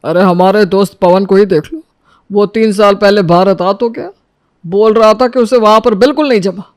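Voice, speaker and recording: A man's voice: high voice, normal speed, dry recording, studio quality, natural voice. A man voicing a reasonable older father-in-law who gives homely advice in a moral story. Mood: reasoning.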